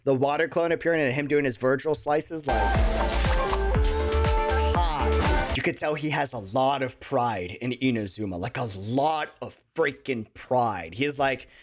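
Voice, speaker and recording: A young adult man giving excited, slangy, effusive casual reaction commentary on a video game at his desk. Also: medium pitch, unhurried. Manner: effusive, slangy, uses bro and dude. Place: small indoor room at a desk. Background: game trailer music.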